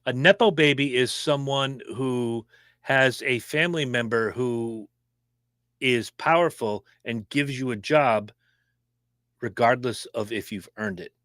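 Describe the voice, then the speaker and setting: Brief: fast-talking, opinionated male podcast co-host, dry close sound. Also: mid-range voice, no echo. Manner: opinionated, animated, quick-talking. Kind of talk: casual sports chat.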